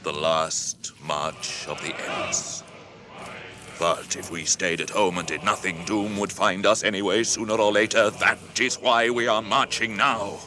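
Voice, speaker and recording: An actor playing an ancient tree giant in a British radio fantasy drama, solemn and stirring, with deliberate, booming declamation. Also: male voice, steady tone, a crowd in the background, lightly acted. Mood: solemn, resolute. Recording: noisy early 1980s radio drama effects.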